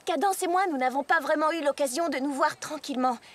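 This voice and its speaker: A woman's voice: high voice, fast talker, lightly acted. A woman playing an earnest, eager and slightly anxious young female pony in a cartoon dub. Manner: earnest, excitable, expressive. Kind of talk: animated cartoon dub acting.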